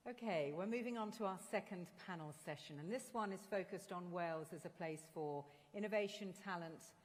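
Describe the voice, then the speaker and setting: Female conference host, low-pitched, brisk and upbeat, echoing hall. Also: slight background noise. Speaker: event host. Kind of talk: stage hosting. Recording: long reverb in a large hall.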